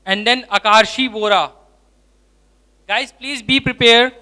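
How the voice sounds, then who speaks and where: A man's voice: extremely high pitch, measured pace, bright, forceful tone. A man hosting an orientation, briefly thanking each speaker and calling the next name. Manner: crisp, calls out names in turn. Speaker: orientation host calling students. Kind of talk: brief announcements.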